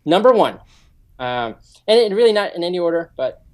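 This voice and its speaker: A man's voice: high-pitched, very animated, fairly quick, darkish tone. A man in his forties, a security consultant, earnestly explaining his background in a radio interview with frequent ums. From United States. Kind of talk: interview answers.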